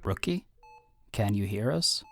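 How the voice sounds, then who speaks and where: A man's voice: on the low side, expressive, unhurried, creaky, heavily acted. A man playing a teasing, caring young teammate in an audio roleplay, with a wry manner. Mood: concerned. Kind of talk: audio roleplay drama acting.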